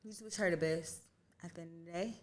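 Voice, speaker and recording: A woman's voice: on the low side, fast. A young woman co-hosting a podcast, talking in an outspoken, emphatic way and swearing freely. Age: young adult. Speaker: podcast co-host. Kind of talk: casual chat.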